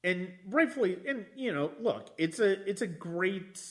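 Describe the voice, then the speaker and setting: Slow, halting man discussing films. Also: sits high, lively intonation. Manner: halting, reflective, often restarting sentences. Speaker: podcast host. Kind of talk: casual film discussion.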